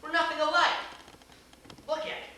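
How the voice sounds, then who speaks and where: A woman playing a flustered, indignant young man in an amateur stage comedy, with halting, formal, exasperated speech. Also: high-pitched, flat delivery, lightly acted. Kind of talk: amateur one-act comedy stage acting. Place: theater stage, recorded from far off. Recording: distant, echoey, noisy stage video.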